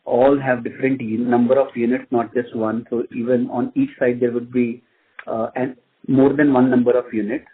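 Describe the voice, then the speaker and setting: An Indian-accented man, a finance executive, answering investor questions in a measured, formal way with repeated words. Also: medium pitch, level tone, slight background noise. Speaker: company finance executive on an earnings call. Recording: muffled narrow phone-line audio.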